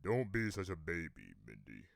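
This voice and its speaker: A man playing a gruff, doting vigilante father in a comic dub, coaxing and commanding in a matter-of-fact way. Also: low voice, sing-song, normal speed, heavy background noise, exaggerated voice. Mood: calm, coaxing. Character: gruff, doting vigilante father drilling his young daughter. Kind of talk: comic book dub acting.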